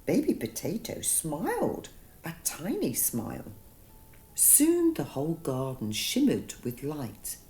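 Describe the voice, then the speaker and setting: Woman, children's storyteller, very expressive pitch, mellow, soothing, deliberate bedtime narration. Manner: soothing, deliberate, dramatic word emphasis.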